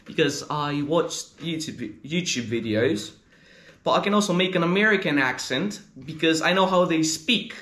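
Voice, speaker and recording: A Greek-accented teenage boy who speaks in a slightly high voice. He answers earnestly, often pausing on "uh" and "like" as he looks for words. The sound has a noticeable roomy echo. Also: lively intonation, a bit bright. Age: teens, about 15. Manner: earnest, searching for words, frequent "like" and "uh". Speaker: interviewee, gamer. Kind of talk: casual interview answers.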